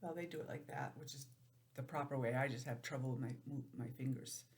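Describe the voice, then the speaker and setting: A woman teacher giving an informal, encouraging lesson to young children, sounding out letters and counting deliberately. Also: very deep voice, a little mellow. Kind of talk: children's lesson, read-aloud introduction.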